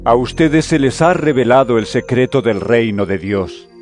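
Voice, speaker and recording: Male actor as authoritative holy teacher, calm, solemn, deliberate, declamatory, mid-pitched, audio Bible drama, music underneath. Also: lightly acted. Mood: explaining gravely.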